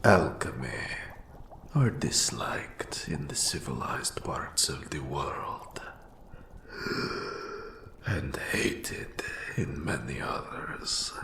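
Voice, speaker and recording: A man voicing an eldritch mentor of dark magic in a scripted fantasy roleplay, whispering gravely with ominous, formal, archaic speech. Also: expressive, drawn-out words, pauses often, heavily acted. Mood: grave, brooding. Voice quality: breathy whisper with gravelly low rasp. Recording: roomy echo on the voice.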